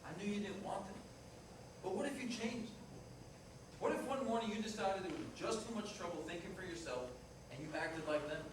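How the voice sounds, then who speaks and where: A man's voice: high voice, lively intonation, a little fast. A man acting a restless, blunt Italian-American ex-fiancé in a staged play reading. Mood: bitter, insistent. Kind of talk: staged reader's theater dialogue. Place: live staged reading in a reverberant room. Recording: echoey, noisy room, distant mic.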